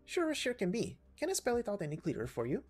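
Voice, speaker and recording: A woman voicing a chatty, warm-hearted young female knight in a game story, breezy and informal. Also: mid-range voice, huge pitch swings, fast, breathy edge, soft background music, pitched above the performer's own voice. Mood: playful. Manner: breezy, informal, hesitating as she talks.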